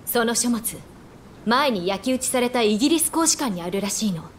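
A woman's voice: a little high, expressive, brisk, slight background noise, lightly acted. A woman playing a spirited, frank young woman, a doctor's daughter, in a Japanese video game, casual and teasing. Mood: determined. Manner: casual, teasing, self-assured.

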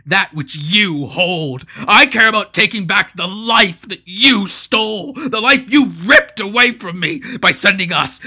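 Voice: sits low, vocal fry, pushed and bright, husky, full character voice. A storyteller voicing a vengeful male spear-wielding warrior who speaks in a thunderous, menacing way. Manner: thunderous, through clenched teeth.

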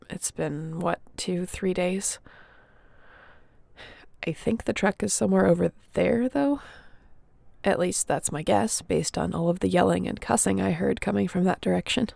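A woman's voice: on the low side, gravelly, dark tone, dry recording. A woman playing a resilient young apocalypse survivor in an audio roleplay, speaking intimately and earnestly with a wry edge. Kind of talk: dramatic audio roleplay addressed to the listener.

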